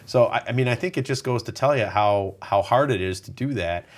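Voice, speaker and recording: A man's voice: medium pitch, brisk. A man hosting a studio interview show, chatting and asking questions with light laughs. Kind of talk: casual interview conversation. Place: television studio.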